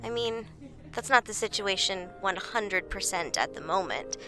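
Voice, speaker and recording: A woman's voice: mid-range voice, animated, normal speed, over music, natural voice. An actress playing a whiny, self-pitying, defensive woman with a melodramatic, complaining manner in an audio drama. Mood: indignant, self-pitying.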